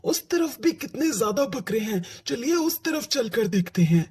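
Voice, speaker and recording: A performer voicing an eager, impatient young boy who pleads excitedly in a children's cartoon. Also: medium pitch, normal speed, breathy edge. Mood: excited, delighted. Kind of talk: Hindi children's cartoon dialogue. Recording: music under the voice.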